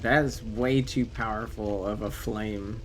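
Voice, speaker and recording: A man's voice: fairly low pitch, fairly even intonation, unhurried, a little hiss or hum. A man whose first language is French, streaming a game live and chatting casually with viewers, breaking into swearing and sudden exclamations. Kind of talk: casual live gameplay commentary.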